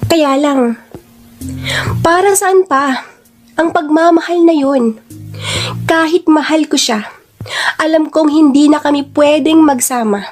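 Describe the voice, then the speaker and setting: Lady storyteller, highish voice, dramatic radio drama narration, music behind. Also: slow pace, long pauses, darkish tone. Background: background music.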